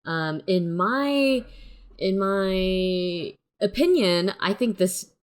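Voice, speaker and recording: A woman's voice: medium pitch, expressive, very slow, clean tone. A woman co-hosting a casual film review chat, giving her opinions in a tentative way. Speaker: review show co-host.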